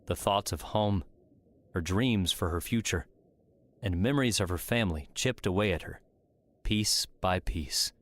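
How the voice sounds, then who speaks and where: Low deep guy narrating a fiction audiobook, scripted read. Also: measured pace.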